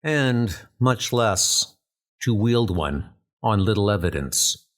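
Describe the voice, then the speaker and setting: A man's voice: lowish voice, animated, takes it slowly. A man playing a composed senior British officer instructing spy recruits in a comic dub, formal and authoritative, with music behind. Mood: composed, instructive. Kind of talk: comic dub dramatic acting.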